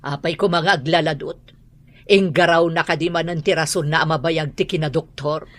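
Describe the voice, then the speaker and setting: A woman's voice: deep voice, fairly even intonation, normal speed, natural voice. An actress playing a proud, insistent, middle-aged mother in an Ilocano radio drama, speaking in a domineering and emphatic way. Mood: dismissive, firm.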